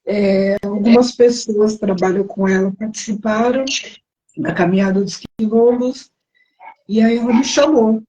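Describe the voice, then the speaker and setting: Hoarse woman guest, mellow low-ish voice, kinda muffled dull audio, halting. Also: steady tone. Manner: halting, brief answers. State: hoarse, losing her voice. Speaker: interview guest, activist. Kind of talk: casual conversational interview. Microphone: remote call over a live stream.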